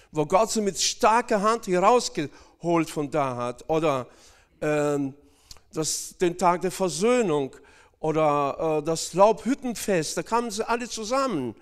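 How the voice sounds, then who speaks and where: A man from Northern Kazakhstan preaching a sermon, speaking hesitantly with many fillers and addressing the congregation directly. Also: high voice.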